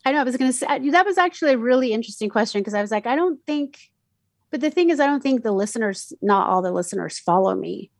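A woman's voice: fairly high pitch, expressive, fast talker, dry recording. Casting: middle-aged radio DJ woman, Mexican Spanish accent, chatty, fillers and restarts, dry close sound. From Mexico City. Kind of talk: casual interview answers.

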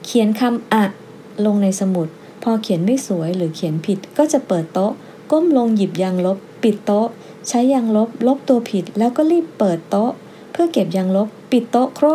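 A woman's voice: medium pitch, dark tone, slight background noise, close to an everyday voice. A woman voicing an exasperated, indignant female primary school teacher in a storybook, complaining in an emphatic but formally polite way.

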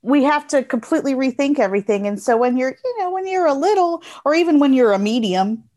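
A woman's voice: high-pitched, animated, fast talker, darkish tone. An American woman talking informally and earnestly in a casual interview, often repeating phrases for emphasis.

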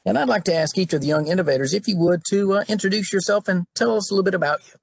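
A man with a fairly high, husky voice hosts a podcast and welcomes his guests warmly. The sound is close and dry, with no room around it. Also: rapid-fire, no echo. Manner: friendly, welcoming introductions. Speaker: podcast host. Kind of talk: hosting introductions.

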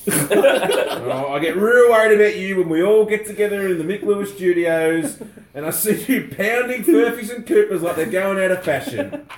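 High-voiced aussie guy on a podcast, mock-stern, laughing, roomy studio, mates laughing behind. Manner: mock-stern, laughing through his lines. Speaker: podcast co-host. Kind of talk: casual banter.

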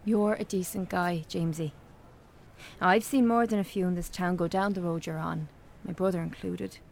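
Frank, gravelly-edged Irish woman. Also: lowish voice, steady tone, normal speed, a little mellow, a little hiss or hum. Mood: earnest concern. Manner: blunt, earnest, probing. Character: frank, concerned small-town woman confronting an old friend. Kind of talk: naturalistic radio drama acting.

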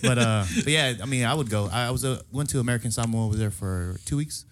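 A man's voice: mid-range voice, quick pace. A young man hosting a casual podcast, laid-back, laughing often and swearing casually. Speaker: podcast host. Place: small studio bar set.

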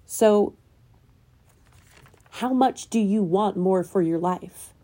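A woman's voice: medium pitch, takes it slowly, warm tone. A woman hosting her own podcast, delivering an earnest spiritual self-help monologue full of probing questions, sounding a little nasal as she gets over a cold. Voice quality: slightly nasal. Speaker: podcast host.

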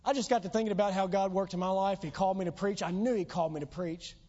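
A man preaches from the pulpit with a high, strained voice that is going hoarse. He drives home his points with rising, earnest appeals. The recording is dull and lo-fi. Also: steady tone, fast talker, warm tone. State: losing his voice. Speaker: pastor. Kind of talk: sermon. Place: church sanctuary.

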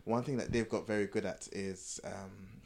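Man, young adult, low voice, casual candid podcast chat, repeats himself while explaining. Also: fairly even intonation, normal speed, vocal fry, a little mellow, slight background noise. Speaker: podcast co-host.

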